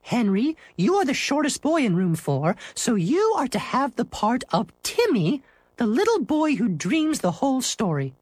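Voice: medium pitch, wildly swooping pitch, moderate pace, darkish tone, breathy edge, dry recording, pitched above the performer's own voice. A storybook narrator voicing a firm, smiling woman schoolteacher who announces things to pupils. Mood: pleased announcing. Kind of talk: children's storybook character voice.

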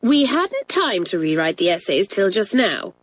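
A woman voicing a bold, impulsive schoolgirl in a storybook, with a brisk, forthright manner. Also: mid-range voice, big pitch swings, no echo. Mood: nervous.

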